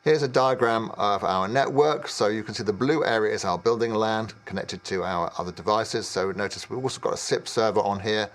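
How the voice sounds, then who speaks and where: A man in his fifties presenting product training in a measured, explanatory way with some hesitation. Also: fairly low pitch. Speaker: technical trainer.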